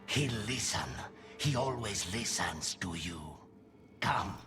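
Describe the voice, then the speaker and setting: An actor playing an urgent, desperate demon spirit in a video game, speaking in short, halting, insistent phrases. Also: male voice, on the low side, animated. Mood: urgent, pleading. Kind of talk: video game character dialogue. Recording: loud music behind.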